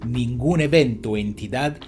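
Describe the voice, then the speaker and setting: A man's voice: medium pitch, normal speed, a little mellow, faint music behind. A man from Italy, a native Italian speaker, presents an educational video as a therapist in a scripted, didactic way, often posing rhetorical questions. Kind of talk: scripted educational narration addressed to viewers.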